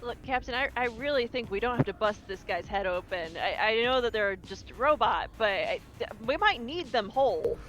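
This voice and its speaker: Sci-fi game crew member, played by a woman: worried, kind, hesitant, highish voice with lively pitch. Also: moderate pace, faint music behind. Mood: worried, pleading. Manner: hesitant, pleading. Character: uneasy, soft-hearted crew member pleading with the captain.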